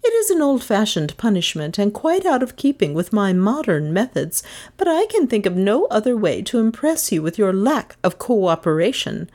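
A woman's voice: medium pitch, sing-song, normal speed, a little mellow, pitched above the performer's own voice. A woman reading a children's story as a stern female schoolteacher who is formal, clipped and reproachful. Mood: coldly resolved. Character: stern, shaming schoolteacher woman, cool and exacting. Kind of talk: children's audiobook character voice.

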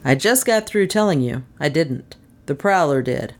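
A woman voicing a cool, unflappable male private detective in a mystery story, wry and deadpan. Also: low-pitched, moderate pace. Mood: matter-of-fact.

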